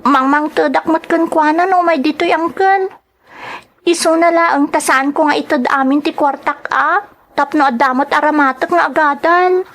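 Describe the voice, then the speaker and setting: A woman plays a troubled high school daughter in a radio-style drama. Her voice is very high, and she pleads in an earnest, plaintive way, as a dutiful girl would. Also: normal speed, a bit bright. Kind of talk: dramatic Ilocano radio-style serial acting.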